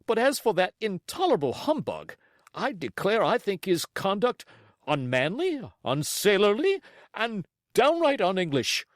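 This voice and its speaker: A man's voice: high-pitched, huge pitch swings, a little slow, dry recording, studio quality, pitched above the performer's own voice, full character voice. A man voicing a hot-tempered, haughty country squire in an audiobook, blustering with indignant emphasis. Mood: outraged.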